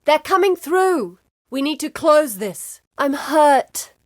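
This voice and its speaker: A woman's voice: high voice, animated, slow, dry recording, studio quality. An actress playing an earnest, determined woman guide in a video game, with a grave, articulate delivery. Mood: urgent, alarmed. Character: earnest, determined woman guide searching for her lost father. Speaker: game character voice. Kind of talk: dramatic video game voice acting.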